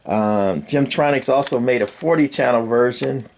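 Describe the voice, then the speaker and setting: Older male hobbyist presenter, gravelly fry in the voice, off-the-cuff and folksy, faint noise behind him. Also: medium pitch, normal speed, darkish tone. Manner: rambling, colloquial, folksy. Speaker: hobbyist radio repairer and video presenter. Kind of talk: casual unscripted bench walkthrough.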